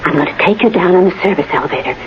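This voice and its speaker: A woman's voice: fairly low pitch, animated, rapid-fire, lightly acted. A woman playing a scheming, jealous villain who poses as a caring friend, in melodramatic 1980s radio drama style. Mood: hushed coaxing. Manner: coaxing endearments, melodramatic. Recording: dull, noisy old radio recording.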